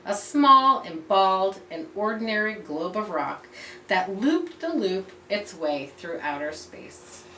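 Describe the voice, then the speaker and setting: A woman reading a picture book aloud to children in an expressive, teacherly way, pausing to explain and point things out. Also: medium pitch. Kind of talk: read-aloud storytelling with explanatory asides. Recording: roomy echo, distant mic, faint background noise.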